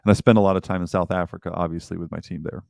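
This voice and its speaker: A man's voice: low-pitched, fairly even intonation, very fast, creaky, a little mellow, no room sound, clean studio sound. A man co-hosting a podcast, talking in a casual, reflective and earnest way. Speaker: podcast co-host, firm owner. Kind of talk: casual chat.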